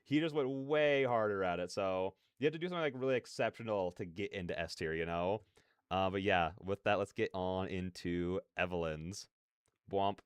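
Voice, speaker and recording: A man's voice: deep voice, moderate pace, clean tone, no echo. A man hosting a reaction video, giving casual, rambling commentary as he thinks aloud. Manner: rambling, peppered with "like" and "you know".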